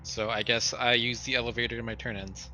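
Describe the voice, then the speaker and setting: A man with a bright, forceful voice at a middle pitch. He chats casually as a player during a tabletop roleplaying game. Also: flat delivery, brisk, soft background music, rough recording.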